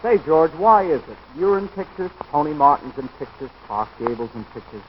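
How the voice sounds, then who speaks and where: An actor playing a vain bandleader sidekick in 1930s radio comedy. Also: male voice, fairly high pitch, very animated, a little fast. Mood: vain, grumbling. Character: vain bandleader sidekick, grumbling about his looks. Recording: muffled, noisy 1930s radio recording.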